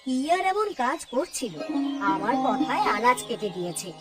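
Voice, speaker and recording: A woman's voice: a little high, sing-song, moderate pace, over music. A woman voicing an older, homely mother-in-law in a children's cartoon, complaining and instructing her family. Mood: aggrieved. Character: older mother-in-law, nagging housewife, aggrieved but indulgent. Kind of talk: Bengali children's cartoon dialogue.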